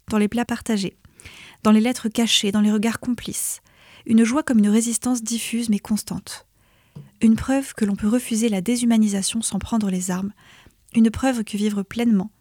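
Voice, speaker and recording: Woman, 30s, scripted poetic narration, measured lyrical cadence, hushed phrase endings, warm mellow tone, close dry mic. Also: on the high side, no echo. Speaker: essay reader.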